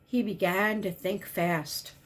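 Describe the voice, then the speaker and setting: A woman reading a children's picture book aloud as a volunteer, in simple, deliberate storytelling with emphasized key words. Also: medium pitch, steady tone.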